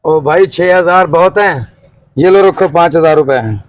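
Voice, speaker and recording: A man's voice: on the high side, normal speed, no room sound, lightly acted. A man playing a polite, anxious job seeker with a broken motorbike in an acted moral-story skit. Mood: firm haggling.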